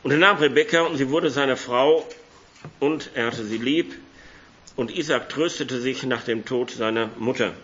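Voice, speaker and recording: A man with a cold preaches in a church hall, his voice hoarse but bright and forceful. He talks casually with the congregation and reads scripture aloud. The sound is a little muffled and lo-fi. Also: mid-range voice, moderate pace. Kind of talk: sermon with Bible reading.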